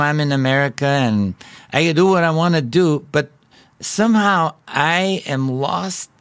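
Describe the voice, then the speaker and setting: A middle-aged man from Bulgaria with a Bulgarian accent, telling his personal story as a podcast host in an earnest, halting way with frequent restarts. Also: fairly high pitch, dry recording. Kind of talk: personal testimony.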